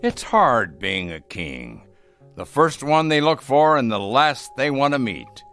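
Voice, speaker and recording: An actor playing an exiled alien king hiding as a man, speaking in a soft, wry, measured way in a radio drama. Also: medium pitch, very animated, soft background music, full character voice. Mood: wistful.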